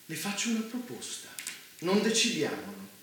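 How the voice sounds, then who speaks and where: Male, urbane powerful killer for a stage play: courteous, controlling, precise, with a bright, forceful edge in a heavily echoing room. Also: on the high side, very animated, normal speed. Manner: polite but domineering, precise, abruptly probing. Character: urbane, evasive powerful man behind a mass killing. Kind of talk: naturalistic theatre dialogue. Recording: very echoey room, faint noise.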